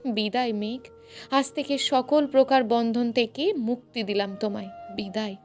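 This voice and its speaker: Woman acting a high-voiced brooding, wounded young man. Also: fairly even intonation, moderate pace, a little mellow, faint music behind, close to an everyday voice. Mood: heartbroken. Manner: curt, wounded, melodramatic. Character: brooding, heartbroken, curt young man in love.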